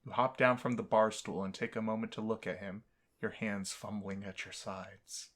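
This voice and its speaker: A man's voice: fairly low pitch, level tone, normal speed, fairly bright tone. A man reading a visual novel aloud as a gaming host, in a storytelling manner.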